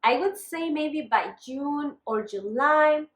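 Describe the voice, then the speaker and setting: A woman's voice: high-pitched, lively intonation, takes it slowly. A young woman with a northern Mexican accent, chatting candidly in a casual makeup vlog with apologetic asides. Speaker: beauty vlogger. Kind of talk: casual makeup update talking to camera. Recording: echoey room.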